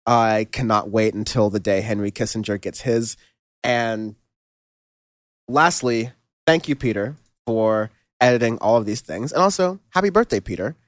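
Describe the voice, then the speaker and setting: A man's voice: on the low side, normal speed, dry recording. Casual male podcast host, dry close mic. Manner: relaxed, rambling, lots of fillers and restarts. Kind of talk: casual music review chat.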